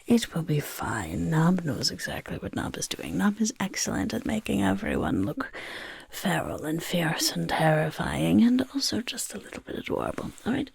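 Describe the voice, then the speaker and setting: A woman voicing a chaotic, eager little goblin overlord in a soft-spoken ASMR roleplay, with a creaky, raspy whisper and a fussy, repetitive manner. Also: fairly low pitch, huge pitch swings, normal speed, heavily acted. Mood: reassuring, inquisitive. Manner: speaks of self in third person, fussy, repeats words.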